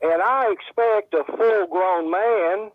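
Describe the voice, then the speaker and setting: A man's voice: high-pitched, slow, no echo. A man calling in to a talk show, blunt and heated, repeating himself as he talks. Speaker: caller. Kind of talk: casual call-in chat. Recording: tinny phone line.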